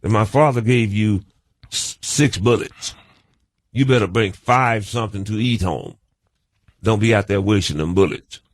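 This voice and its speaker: Older, halting, folksy man, dry sound. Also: fairly low pitch, no room sound. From rural United States. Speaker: interview guest. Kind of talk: conversational reminiscence.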